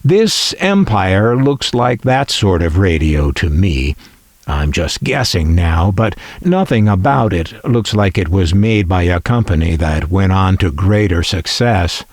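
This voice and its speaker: A man giving a measured, explanatory narration as a collector presenter, with wry, musing conversational asides. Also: deep voice, very animated, no room sound. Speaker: collector presenting a vintage radio.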